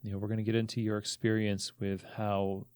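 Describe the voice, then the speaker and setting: A man speaking as a podcast host in a conversational interview, with a measured, halting, thoughtful delivery. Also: fairly low pitch, completely flat delivery, darkish tone, smooth, no echo, clean studio sound.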